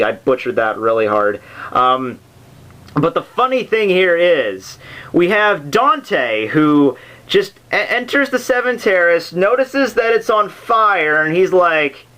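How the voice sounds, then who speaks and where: A man's voice: high voice, lively intonation, measured pace, pushed and bright. A man giving a casual, unscripted explanation as host, rambling and dropping jokey asides. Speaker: host of a literature summary series. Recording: music playing under the voice. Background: background soundtrack music.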